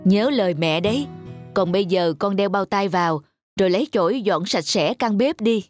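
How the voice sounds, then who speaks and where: A woman's voice: medium pitch, lively intonation, normal speed, over music, close to an everyday voice. A woman voicing a strict mother in a cartoon, firm and instructive, admonishing in everyday speech. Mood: firm scolding. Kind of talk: cartoon dubbing.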